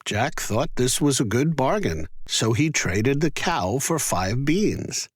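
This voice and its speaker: A man narrating a scripted children's fairy tale in an expressive, careful storytelling manner. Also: medium pitch, slow pace, breathy edge, no echo, studio quality.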